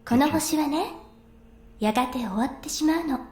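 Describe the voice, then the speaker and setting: An actress playing a gentle, solemn older sister speaking to a child in a Japanese visual novel game. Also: female voice, high voice, fast talker, close to an everyday voice.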